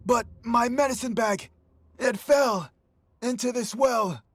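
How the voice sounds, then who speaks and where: A man playing a frightened, excitable housekeeper in a video game, exclaiming with melodramatic alarm. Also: very high voice, takes it slowly, no echo, heavily acted. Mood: fearful, flustered. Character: frightened, excitable male housekeeper of a lord's estate. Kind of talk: theatrical game cutscene acting.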